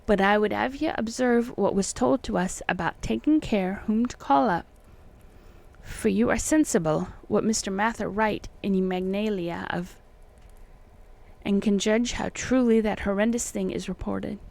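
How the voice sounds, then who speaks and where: A woman voicing an uneasy, secretive old colonial occultist man, reading his letters in solemn, halting archaic phrasing. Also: mid-range voice, creaky, darkish tone, close to an everyday voice. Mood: grave warning.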